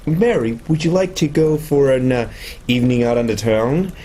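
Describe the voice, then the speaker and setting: A man's voice: mid-range voice, normal speed, warm tone, lightly acted. An actor playing a polite, eager male holographic robot in a radio play, hesitant and formal.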